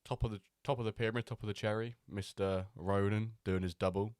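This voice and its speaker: A man hosting a live stream, chatting loosely with many pauses and fillers, still a little nasal from a cold. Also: lowish voice, fairly even intonation, darkish tone, clear, resonant tone, no echo, studio quality. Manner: chatty, rambling, with many ums and restarts. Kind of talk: casual live-stream chat.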